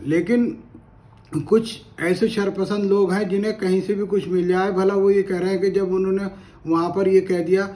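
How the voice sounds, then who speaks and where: A man's voice: high voice, little pitch movement, moderate pace, dark tone. A man from India, giving spoken opinion commentary in an earnest, argumentative way and repeating phrases for emphasis. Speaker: commentator on current affairs.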